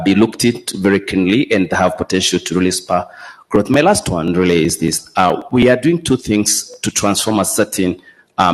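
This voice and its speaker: A man's voice: sits low, rough recording. A man speaking as a panelist, laying out his points one by one. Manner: structured, lists his points. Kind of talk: panel talk, business points. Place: conference hall.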